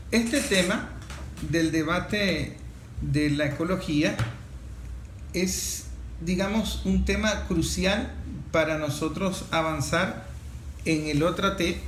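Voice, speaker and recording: A man's voice: a little high, slow, a little hiss or hum. A man with a Venezuelan accent hosting a talk programme, speaking earnestly and repeating phrases for emphasis. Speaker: programme host. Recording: roomy echo, faint music behind.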